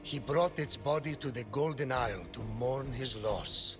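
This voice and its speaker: Game narrator, male actor: mid-pitched, wise and measured myth teller, dryly reproachful, score behind. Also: music in the background, natural voice. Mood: solemn. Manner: measured, solemn, dry. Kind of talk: scripted video game narration.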